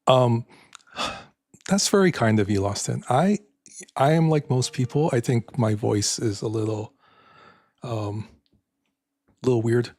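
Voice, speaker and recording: Older man, raspy and husky, soft-spoken, halting, stream host. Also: mid-range voice, dry recording, studio quality. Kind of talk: casual live-stream chat.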